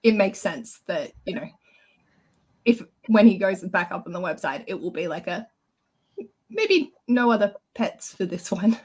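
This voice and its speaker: Casting: woman co-host, average pitch, reassuring and colloquial, casual conversation. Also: expressive, unhurried, darkish tone, husky. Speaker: co-host of a book readalong livestream. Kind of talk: casual chat.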